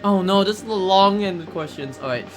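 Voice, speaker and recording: A man playing a video game live and commentating on it casually in a jokey, excitable way as a speedrunner. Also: sits high, normal speed. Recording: game music behind the voice. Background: video game music.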